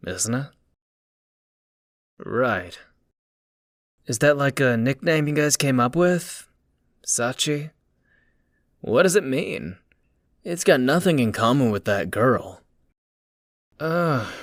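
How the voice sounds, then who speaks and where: Man acting a slow-talking, curious young man. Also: medium pitch, gravelly, no echo, studio quality, heavily acted. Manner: musing aloud, probing. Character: curious, prying young man, casually musing. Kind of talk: anime-style manga dub acting.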